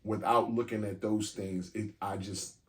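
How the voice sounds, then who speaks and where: Candid, low-voiced man in his forties. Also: level tone, measured pace. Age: 40s. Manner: candid, profane, laughs easily. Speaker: podcast co-host. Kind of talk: casual chat.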